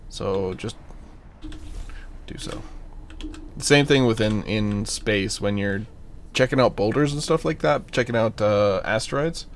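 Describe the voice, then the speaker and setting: A man's voice: fairly low pitch, a little slow, pauses often, a little hiss or hum. A man giving casual gameplay commentary, thinking aloud and muttering to himself with playful asides. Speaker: gaming channel host.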